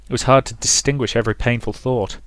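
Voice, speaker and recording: A man's voice: medium pitch, steady tone, fast talker, fairly bright tone. A man commentating on and reading aloud from a visual novel as a let's play host.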